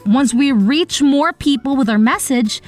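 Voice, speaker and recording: A woman voicing an earnest, optimistic young heroine who narrates her own animated story in an expressive, dramatic way. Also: high-pitched, a little slow. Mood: earnest storytelling. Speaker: narrator and lead character. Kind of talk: animated first-person story narration with acted dialogue. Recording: voice over background music. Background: music.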